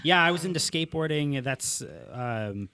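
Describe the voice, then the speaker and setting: A man's voice: a little high, expressive, moderate pace, very noisy. A man in his twenties interviewing a guest, thinking aloud with restarts. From Ontario, Canada. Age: 20s. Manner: hesitant, many restarts and fillers while thinking aloud. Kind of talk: casual interview chat.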